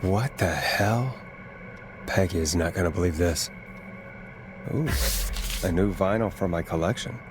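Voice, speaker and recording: A man's voice: deep voice, a little slow, long pauses, over music. A man playing a thoughtful, puzzled radio host turned investigator in a video game, conversational and musing. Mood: surprised.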